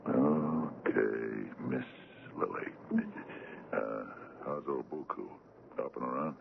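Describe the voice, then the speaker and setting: Very deep gravelly sea captain. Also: male voice, full character voice. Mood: grumbling. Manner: halting, muttering speech. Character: gruff ailing male ship's captain, tough and protective. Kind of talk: old-time radio drama acting. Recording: tinny, noisy old radio broadcast.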